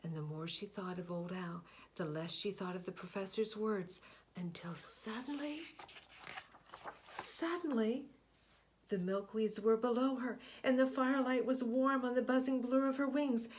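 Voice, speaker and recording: A woman reading a picture book aloud, expressive, often dropping to a hushed whisper. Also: fairly high pitch. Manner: expressive, slow, hushed asides. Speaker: storybook reader.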